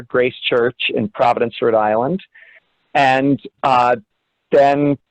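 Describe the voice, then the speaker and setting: A man's voice: mid-range voice, no room sound. A young man from the Cincinnati area, a guest in an interview, telling his story in a reflective, rambling way and correcting himself as he goes. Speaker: guest, orchestra assistant conductor. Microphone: video call. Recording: dull, dry video-call audio.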